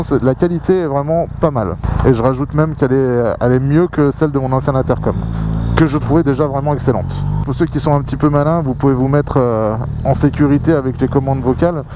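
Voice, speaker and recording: Guy motovlogging, mid pitch, slangy chat into a helmet mic, loud traffic noise behind him. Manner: informal, slangy. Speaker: motorcycle vlogger. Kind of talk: casual chat while riding, talking to viewers. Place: outdoors riding a motorcycle on the road. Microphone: action camera microphone inside a helmet. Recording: loud road and vehicle noise. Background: vehicle and road noise.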